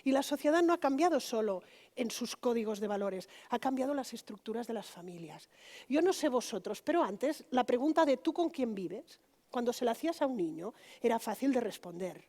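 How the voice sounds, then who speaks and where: A woman's voice: a little high, lively intonation, fairly quick, warm tone. A woman, a pediatrician giving a conference lecture in a conversational way, often checking in with the audience. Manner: conversational, frequent tag questions to the audience.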